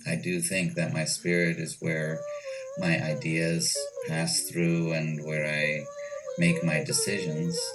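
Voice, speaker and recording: A man with an American accent giving reflective, measured answers as an interview guest. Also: extremely low pitch, level tone, noisy background. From United States. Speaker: interview guest, ayahuasca retreat owner. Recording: distant mic with clear music behind.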